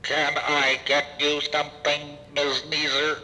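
A man playing a polite, humble robot waiter in a comic stage show, formal and deadpan. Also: a little high, slow pace, faint music behind, exaggerated voice. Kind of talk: comic audio theatre acting before an audience. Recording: reverberant live theatre hall.

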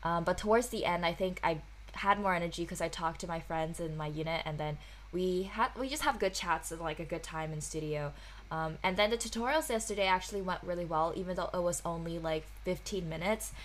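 A woman's voice: lowish voice, fairly quick. A young woman from Hong Kong hosting a casual podcast chat, talking freely with lots of "like" fillers and easy laughter. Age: young adult. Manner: chatty, frequent "like" fillers, laughs easily. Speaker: podcast host.

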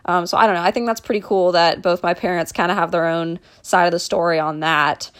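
A woman's voice: on the low side, steady tone, fairly quick. A young woman from Dallas, hosting a casual solo podcast and talking in a chatty way, with lots of ums and restarts. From Dallas, Texas. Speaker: podcast host, mental performance coach.

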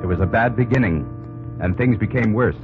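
A man's voice: lowish voice, a little fast. A man giving dramatic, scripted narration for an old-time radio drama. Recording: muffled old radio recording with music.

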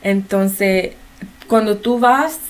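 A woman's voice: medium pitch, drawn-out words. A woman with a Honduran Spanish accent, an interview guest telling a personal story in casual conversation, explaining things directly to the listener.

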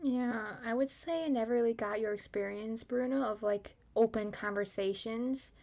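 A woman's voice: on the high side, normal speed, vocal fry, a little mellow, muffled recording. A young woman in a casual podcast chat, hesitant and correcting herself as she goes. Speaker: podcast co-host.

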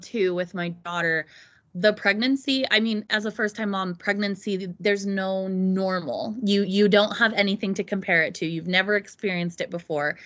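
A woman in her thirties speaks as a podcast guest, recounting her own experiences. Her delivery is earnest and reflective, in a voice of middling pitch. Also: fairly bright tone. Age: 30s. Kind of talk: casual conversation.

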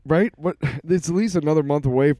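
A man's voice: fairly high pitch, a little fast, mellow, dry recording, clean studio sound. A man co-hosting a casual podcast, trading profane, wisecracking banter. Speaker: podcast co-host. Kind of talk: casual chat.

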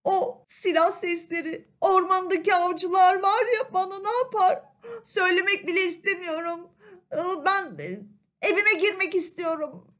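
A woman voicing a small, excitable, dramatic mouse in a children's fairy tale. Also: extremely high pitch, normal speed, pitched above the performer's own voice. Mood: frightened, whining. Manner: dramatic, protesting, exclaiming. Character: small timid mouse, turned cat, dog, wolf, excitable.

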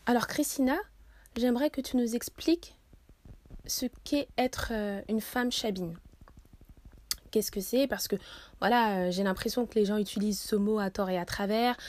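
A woman's voice: medium pitch, moderate pace, pauses often, darkish tone. A young woman hosting a podcast interview, asking long, earnest questions with frequent fillers. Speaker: podcast host.

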